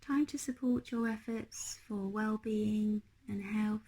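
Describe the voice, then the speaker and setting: A woman leading a guided meditation as a teacher, speaking in a gentle, unhurried, hushed manner. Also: medium pitch, fairly even intonation, husky, rough recording.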